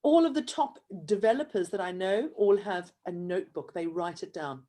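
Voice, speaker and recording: A woman's voice: medium pitch, moderate pace, warm tone. A woman with a South African accent hosting an interview, speaking earnestly and reflectively in long, building runs of thought. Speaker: host. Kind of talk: conversational interview.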